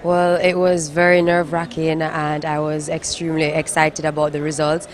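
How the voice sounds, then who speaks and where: A hoarse young woman with a raspy voice, a pageant contestant answering an interviewer graciously. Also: deep voice, flat delivery, moderate pace. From Jamaica.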